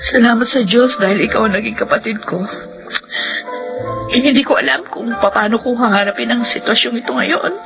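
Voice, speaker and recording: A woman's voice: on the high side, normal speed, gravelly, over music. An actress playing a struggling young wife and mother in a radio drama, speaking earnestly and politely. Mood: worried. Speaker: lead character. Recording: dull lo-fi radio with faint noise.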